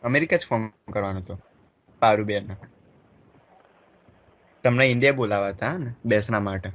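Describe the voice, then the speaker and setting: A man's voice: fairly low pitch, moderate pace. A man with a colloquial Gujarati accent making a prank phone call, insistent and mock-earnest. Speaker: prank caller.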